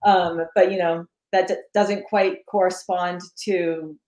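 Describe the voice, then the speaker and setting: A woman in her thirties from Massachusetts, talking in a relaxed, reflective way about her background over a video call. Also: lowish voice, slow. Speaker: executive director. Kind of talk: casual video-call interview. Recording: echoey room on a video call.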